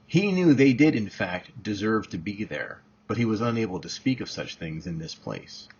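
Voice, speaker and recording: A man narrates a story in a lowish, very husky voice. The delivery is scripted audiobook reading by the author. The recording is dull and slightly muffled. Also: big pitch swings, moderate pace, a little mellow. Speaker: author reading own novel excerpt.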